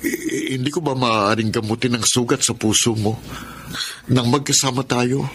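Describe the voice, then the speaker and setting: A man's voice: mid-range voice, steady tone, moderate pace, fairly bright tone, slight background noise, lightly acted. A man playing a guilty, unfaithful husband in a radio drama, contrite and pleading in his delivery. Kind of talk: Tagalog radio drama acting.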